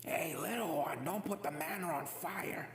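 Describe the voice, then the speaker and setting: A woman voicing an eerie ghost in a fan story, slow and softly admonishing. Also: low-pitched. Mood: calmly scolding. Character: slow, eerie ghost gently scolding a child. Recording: echoey, faint noisy ambience.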